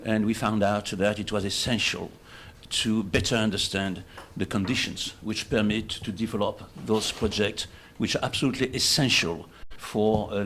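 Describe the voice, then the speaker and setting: An older man with a French accent giving a halting introduction at a conference, phrase by phrase. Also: lowish voice, a bit bright, a little hiss or hum. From France. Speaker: conference speaker, organization official. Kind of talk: self-introduction speech at a conference. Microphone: livestream microphone in meeting room.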